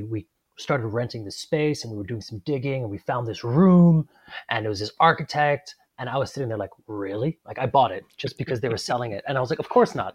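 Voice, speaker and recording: Guy answering interview questions, calm and matter-of-fact, a bit of dry humor, dry close mic. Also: mid-range voice, no room sound. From Netherlands, raised abroad. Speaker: guest game designer.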